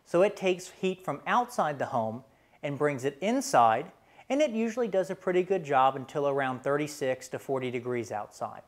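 A man's voice: a little high, very animated, normal speed. A man in his thirties with an American accent presents a scripted explainer in a plain, instructional way. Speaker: presenter for a home heating and cooling company.

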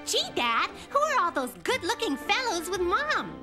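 Very high-pitched cheeky little girl. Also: very animated, moderate pace, bright, forceful tone, heavily acted. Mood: chirpy, curious. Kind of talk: children's cartoon voice acting. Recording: music behind the voice.

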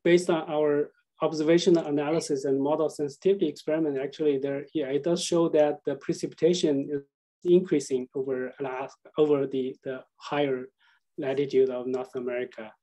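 A man gives a scientific presentation over a video call. His voice is mellow and a bit high in pitch. He speaks haltingly, repeating himself as he searches for words. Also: flat delivery, poor audio.

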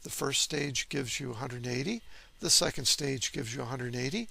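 A man giving scripted technical narration as an instructor, in a measured, didactic manner. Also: mid-range voice, creaky, sharp tone, husky. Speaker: electronics instructor.

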